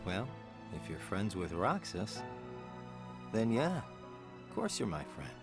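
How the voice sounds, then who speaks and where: A man's voice: on the low side, huge pitch swings, slow, full character voice. A man playing a laid-back young man, a wry, protective older friend in a video game cutscene, over music. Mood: wry, reassuring.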